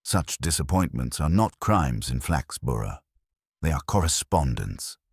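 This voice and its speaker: A man voicing a prim, shrewd older woman, genteel and dryly amused, with crisp, precise speech in a storybook reading. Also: extremely low pitch, sing-song, measured pace, dry recording, clean studio sound, full character voice. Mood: crisp, relishing.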